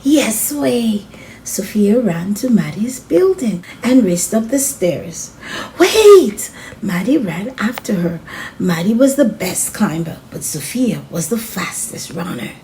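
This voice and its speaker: Expressive, lively woman reading a storybook. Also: mid-range voice, unhurried, a little hiss or hum. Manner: expressive, addresses children as friends. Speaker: read-aloud host and narrator. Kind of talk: children's storybook read-aloud.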